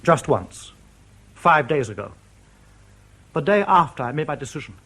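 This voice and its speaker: An actor in a radio drama playing a wary East German defector and spy who speaks in a measured, ruminative way. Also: male voice, fairly high pitch, sing-song, pushed and bright, full character voice.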